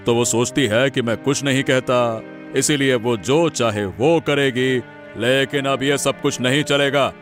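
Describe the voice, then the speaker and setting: A man's voice: medium pitch, natural voice. Brooding, scheming, class-proud man, acted out. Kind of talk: dramatized audio story monologue.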